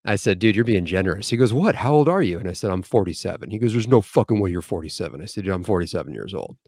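A man in his forties with a low voice tells a story in a laid-back, casual way, dropping the odd swear word. He is recorded on a close, dry podcast mic. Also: animated, rapid-fire, warm tone, breathy edge, dry recording. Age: 40s. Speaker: podcast co-host and new EMT.

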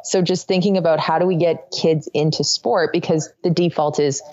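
A woman's voice: low voice, fairly even intonation, normal speed, warm tone, no echo. A woman chatting casually and reflectively as a podcast guest. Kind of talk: casual chat. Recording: dull, dry, lo-fi audio.

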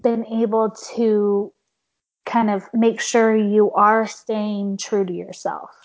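A young woman hosting a casual conversation, a little hoarse, saying "like" often with an uneven pace. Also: mid-range voice, level tone, slow. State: slightly hoarse. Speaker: podcast host. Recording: dull lo-fi sound.

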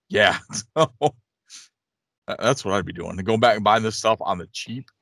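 A man's voice: on the low side, measured pace, gravelly, a bit bright, no echo, clean studio sound. A man in his late thirties chatting casually as a podcast co-host, rambling and repeating his phrases. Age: late 30s. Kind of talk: casual chat, reading listener questions.

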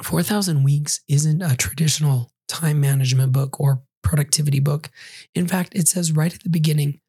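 A hoarse man hosts his own podcast, reviewing a book while still getting his voice back. His voice is raspy and dark, with some words slipping into a breathy half-whisper. The recording is close and dry. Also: a little high, steady tone, normal speed, no echo. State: hoarse, recovering from losing his voice. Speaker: podcast host.

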